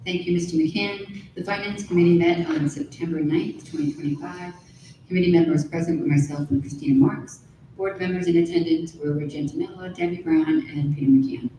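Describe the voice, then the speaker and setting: A woman reads finance committee items in a brisk, formal way. Her voice is mellow and somewhat low, heard from afar in a very echoey room. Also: fairly even intonation. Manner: brisk, list-reading, formal. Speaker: board member, finance committee chair. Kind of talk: reading a committee report. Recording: echoey room from far off, faint rumble.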